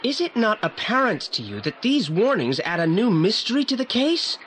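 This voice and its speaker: A man's voice: high voice, huge pitch swings, moderate pace, fairly bright tone, full character voice. A male actor playing a precocious, self-assured teenage boy detective who talks in formal, pedantic sentences in an audio drama. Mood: determined. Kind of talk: 1980s audio drama acting. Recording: slightly noisy old audio play transfer.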